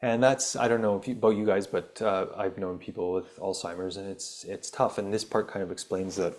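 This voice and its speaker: A man hosts his own healing podcast, his voice sitting fairly low. His voice has gone raspy from reading aloud. He stumbles over words as he reads, then drifts into loose, rambling chat padded with ums and uhs. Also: steady tone, a little fast, a little mellow. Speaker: podcast host. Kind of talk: reading an article aloud with casual asides.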